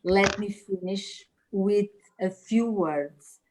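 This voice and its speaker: A woman with a Portuguese accent, a native Portuguese speaker, opening an online webinar as host with a measured, formal speech. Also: medium pitch, a little mellow, low-quality recording. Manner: measured, formal delivery with occasional slips. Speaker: event host.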